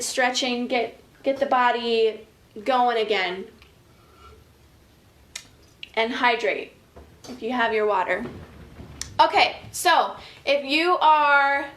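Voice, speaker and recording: An American woman leading children's story time as an educator, expressive and chatty, reading and giving instructions directly to young listeners. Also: sits high. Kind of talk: animated picture-book reading and casual guided instruction for young children.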